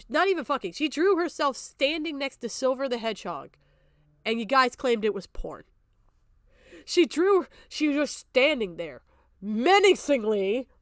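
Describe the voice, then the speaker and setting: A woman with a smooth, fairly high voice does live reaction commentary on a stream. She is combative and sarcastic and curses freely. The sound is dry and close, with no room on it. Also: no echo. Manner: combative, sarcastic, heavy swearing.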